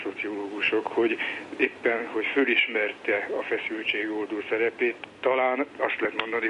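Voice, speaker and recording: A male social psychologist who talks in a measured, reflective way with a somewhat low voice. The radio recording sounds dull and carries a faint hiss. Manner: measured and reflective, tells a joke. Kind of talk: explanatory interview talk.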